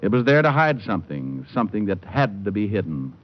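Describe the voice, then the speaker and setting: An actor playing a cool, wisecracking private detective, narrating with dry wit in hardboiled old-time radio style. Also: male voice, lowish voice, sing-song, a little fast. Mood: wry, cool. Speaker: lead and narrator. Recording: dull old radio recording. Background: music.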